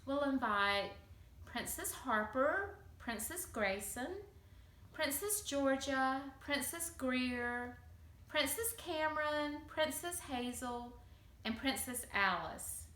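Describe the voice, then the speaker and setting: An eager little storybook princess, put on by a woman reading at bedtime. Her voice sits high with childlike phrasing, and the room is echoey. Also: animated, takes it slowly, sharp tone, heavily acted. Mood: eager, decided.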